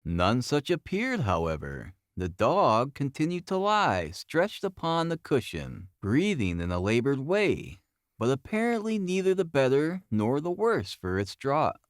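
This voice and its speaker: A man's voice: mid-range voice, huge pitch swings, measured pace, no room sound, clean studio sound. A man reading a classic detective story aloud as an audiobook narrator, in a scripted, dramatic storytelling style.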